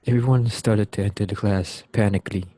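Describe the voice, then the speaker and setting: Male story narrator, soft dark tone, rough-edged voice, scripted amateur delivery. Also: lowish voice, steady tone, fairly quick, no room sound, studio quality.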